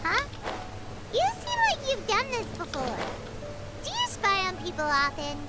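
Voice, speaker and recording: A woman voicing a small, excitable, childlike fairy companion in an anime-style video game, squeaky and inquisitive. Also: a little slow, loud music behind the voice, heavily acted. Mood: puzzled.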